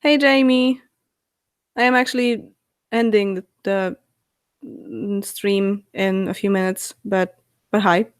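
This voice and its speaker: Slow-talking Polish woman streamer, giggly. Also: medium pitch, fairly even intonation, smooth, dry recording, studio quality. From Poland. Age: 20s. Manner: reads out chat questions and answers, giggly, halting with fillers. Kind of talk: casual live stream chat.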